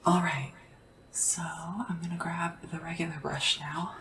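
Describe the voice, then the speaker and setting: A performer whispering in a breathy, soothing, chatty way, playing a gentle sleep assistant to royalty in an ASMR roleplay. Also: mid-range voice, level tone, unhurried, far from the mic, slight background noise. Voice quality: breathy, mostly unvoiced whisper. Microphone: close ASMR microphone, ear to ear.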